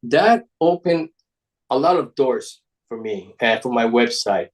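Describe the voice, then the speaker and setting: A man from Ecuador speaks English at a slow pace with an Ecuadorian Spanish accent. His voice sits at a middling pitch. He presents informally as a guest, halting and restarting words as he goes. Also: low-quality recording. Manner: halting, with repeated word restarts. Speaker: guest presenter, paper model designer.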